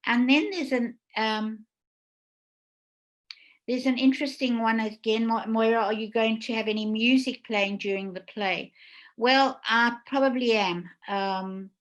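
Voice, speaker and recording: A woman's voice: on the high side, fairly even intonation, a little slow, poor audio. A woman hosting an online panel discussion in a casual, effusive way, as a theatre producer and director would. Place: indoors, online video call.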